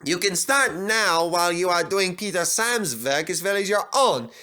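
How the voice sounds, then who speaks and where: A man's voice: high voice, animated, normal speed, sharp tone, voice pushed up. A man voicing a stern male railway controller in a storybook reading. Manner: stern, firm.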